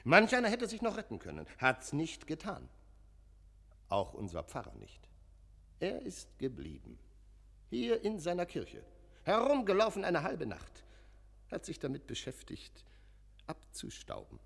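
An agitated sexton whose gravelly voice leaps wildly from murmur to cry. He talks in halting bursts, as radio drama in a long, echoing church reverb. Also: fairly high pitch, wildly swooping pitch, exaggerated voice. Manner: halting, fragmented, abruptly emphatic phrases. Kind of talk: German radio play acting.

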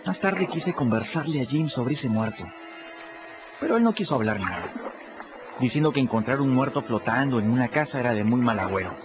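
Peruvian guy narrating a story, lively pitch, muffled sound with music behind. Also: brisk. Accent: Peruvian Spanish. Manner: storytelling, expressive. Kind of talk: first-person radio drama narration. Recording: dull audio with music underneath.

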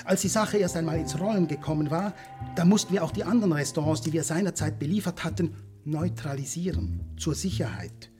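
Brief: male actor as courteous, menacing corporate villain, higher-set voice, lively intonation, radio play with music behind. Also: close to an everyday voice. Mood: smugly explanatory. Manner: courteous, measured, pompous politeness veiling threat. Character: suave ruthless businessman villain, polite, menacing, scientifically zealous. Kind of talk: German radio drama acting.

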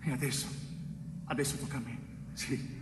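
An actor playing a wrongly convicted Sicilian bricklayer in a theatrical monologue, tormented and tender, pleading haltingly with repetitions and dramatic pauses. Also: male voice, mid-range voice, steady tone, natural voice. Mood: tender, confiding. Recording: echoey hall, distant mic, faint noise.

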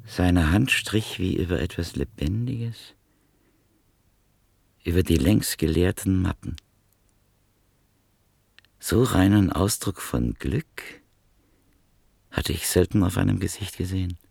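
Male narrator, deep gravelly husky voice, dry close sound, lively intonation. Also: no room sound. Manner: measured, grave storytelling. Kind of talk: literary radio drama narration.

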